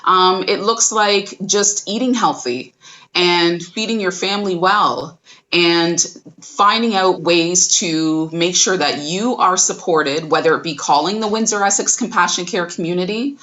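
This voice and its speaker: A woman guest speaking slowly and encouragingly about community support. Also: lowish voice, a bit bright, muffled recording. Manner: slow, measured, encouraging. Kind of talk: guest talk.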